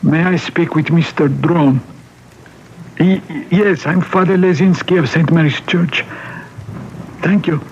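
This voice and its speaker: A man playing a polite, higher-voiced parish priest. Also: a little slow, pauses often, close to an everyday voice. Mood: polite, businesslike. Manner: polite, hesitant, gently deferential. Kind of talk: old-time radio mystery drama acting. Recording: muffled vintage radio broadcast, background hiss.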